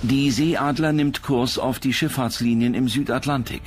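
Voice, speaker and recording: A man's voice: medium pitch, steady tone, moderate pace, music in the background. A man reading scripted documentary narration as the narrator.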